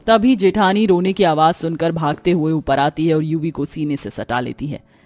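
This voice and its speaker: A woman's voice: low voice, moderate pace, darkish tone, no echo, faint music behind. A woman narrating a scripted cartoon family story.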